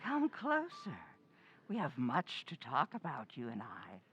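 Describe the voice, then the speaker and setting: A woman's voice: very deep voice, huge pitch swings, fairly bright tone, heavily acted. A woman playing a menacing, bloodthirsty undead old woman who taunts and commands in old-time radio horror style. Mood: sinister, taunting. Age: older. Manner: slow, taunting, commanding, gloating.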